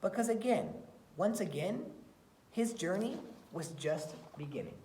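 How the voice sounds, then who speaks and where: Female teacher, read-aloud and lesson style for students. Medium pitch, animated melody, emphatic phrasing, audible room echo. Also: measured pace, a little hiss or hum. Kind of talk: expressive read-aloud and lesson talk for children. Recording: roomy, echoey sound.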